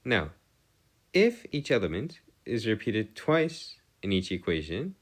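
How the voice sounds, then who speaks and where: A man giving a step-by-step math lesson as a tutor, halting and pausing to check himself, with formal, bookish wording. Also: fairly low pitch, big pitch swings. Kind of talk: lesson, working through equations step by step.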